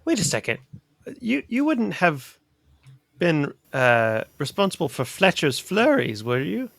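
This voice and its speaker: A man's voice: a little high, wildly swooping pitch, unhurried, gravelly, a bit bright, no echo, exaggerated voice. A man voicing a small, curious male adventurer who asks cheeky questions and stammers.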